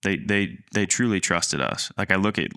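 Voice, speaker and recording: A man around thirty co-hosting a podcast, telling a personal story in a casual, halting, rambling way. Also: sits low, lively intonation, bright, forceful tone, smooth, no echo, studio quality. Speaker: podcast co-host, land agent.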